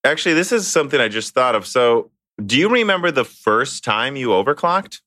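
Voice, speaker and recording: A man hosting an interview, asking questions in a quick, chatty way and laughing partway through his sentences. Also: medium pitch, very animated, pushed and bright, no echo, studio quality. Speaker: interviewer, host. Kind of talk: casual interview.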